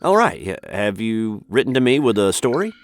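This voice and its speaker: An actor playing a bewildered, polite American captive in a comic radio sitcom. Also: male voice, fairly low pitch, big pitch swings, moderate pace, a little hiss or hum. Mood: confused. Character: bewildered, polite American man chained in a dungeon. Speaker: narrator star of the show.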